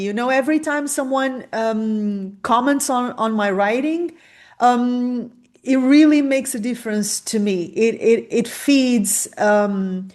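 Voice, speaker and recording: A woman who grew up speaking Portuguese and Spanish, hosting a podcast interview and talking openly and expressively. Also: on the high side. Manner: expressive, talks through her feelings openly. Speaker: podcast host. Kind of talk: conversational interview.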